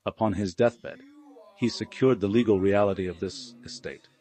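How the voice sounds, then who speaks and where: A stern family lawyer voiced by a male narrator, low-pitched and formal. He declaims legal pronouncements with absolute finality, in the style of a dramatic audiobook. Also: normal speed, warm tone, natural voice. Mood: grave authority.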